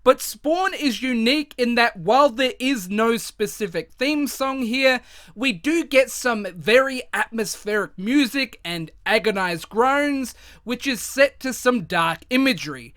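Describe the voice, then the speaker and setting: Man, 30s, scripted review narration, very high voice, dry close sound. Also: no echo. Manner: measured, reflective, even delivery. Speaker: retrospective reviewer.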